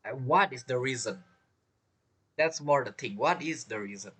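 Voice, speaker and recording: A man giving casual game commentary and reading text aloud in a halting way, reacting as he goes. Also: mid-range voice, expressive, a bit bright, slight background noise. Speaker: gaming streamer.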